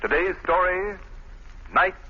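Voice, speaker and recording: An actor in a 1940s-style radio crime drama, playing announcer and harried city men. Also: male voice, high-pitched, expressive, slow. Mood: dramatic, grave. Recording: dull, noisy old radio recording.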